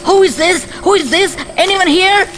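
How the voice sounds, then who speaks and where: Man acting as anxious young storybook walker, extremely high shrill voice, faint music behind. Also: normal speed. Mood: frightened, calling out. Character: frightened young walker calling out in the dark.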